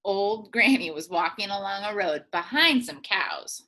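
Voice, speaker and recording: A woman teacher reading a children's storybook aloud in an animated way, drawing words out for emphasis. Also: medium pitch, measured pace.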